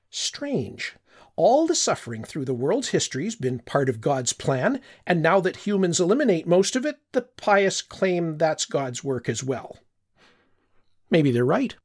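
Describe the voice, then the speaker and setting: An older man reads a story aloud as if remembering it himself, in a reflective, wistful way. His voice sits a little higher than most men's. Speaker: audiobook narrator. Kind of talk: first-person scripted narration.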